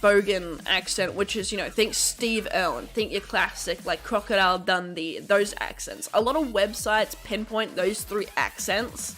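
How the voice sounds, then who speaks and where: A woman's voice: mid-range voice, moderate pace, hardly any pauses, fairly bright tone. Chatty Australian woman streamer. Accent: casual Australian. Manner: chatty, laughs often, swears freely. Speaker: VTuber streamer. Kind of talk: casual livestream chat.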